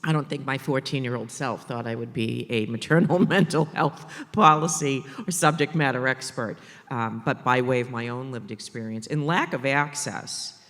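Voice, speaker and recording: A man from the Boston area with a Boston accent, speaking on a conference panel about maternal mental health in an animated way, with joking asides and emphatic repetition. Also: medium pitch, moderate pace, hardly any pauses, in a large hall. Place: conference stage in a large hall.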